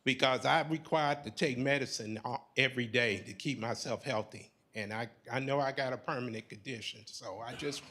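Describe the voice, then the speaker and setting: A man speaking from the floor at a forum, halting and searching for words with many fillers. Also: medium pitch, sharp tone. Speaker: audience member at a public forum. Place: conference hall.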